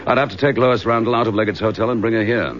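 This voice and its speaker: An actor playing a steady, dryly courteous middle-aged English chief inspector in a radio detective drama. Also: male voice, lowish voice, quick pace, lightly acted. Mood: thoughtful, steady. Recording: dull lo-fi radio drama recording.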